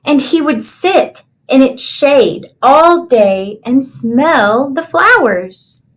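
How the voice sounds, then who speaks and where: A woman's voice: sits high, animated, drawn-out words. A woman reading a children's storybook aloud like a teacher, explaining things simply and checking in with young listeners.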